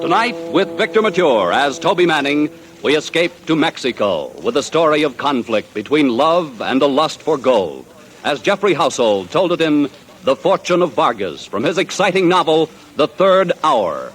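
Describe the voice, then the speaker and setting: A man's voice: a little high, very animated, moderate pace, poor audio. A male radio announcer in a declamatory, polished 1940s broadcast style. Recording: old radio broadcast with music.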